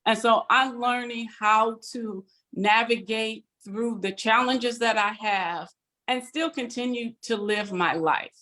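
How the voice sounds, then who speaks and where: A woman sharing a personal testimony on a video call, earnest and halting with fillers. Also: fairly high pitch, bright, forceful tone. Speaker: guest.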